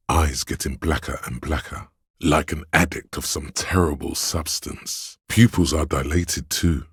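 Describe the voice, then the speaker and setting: A man's voice: extremely low pitch, slightly rough, no room sound, studio quality, heavily acted. An actor playing a seductive, hedonistic inner voice of addiction in a video game, coaxing and insinuating as he savors his words. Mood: sly, knowing. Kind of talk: dramatic game narration, second-person coaxing.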